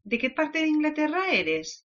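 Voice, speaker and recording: A woman from Valencia, presenting a language course and giving learners clear instructions. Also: high-pitched, sing-song, moderate pace, a bit bright. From Valencia, Spain. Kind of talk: instructional lesson prompts. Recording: dull lo-fi audio.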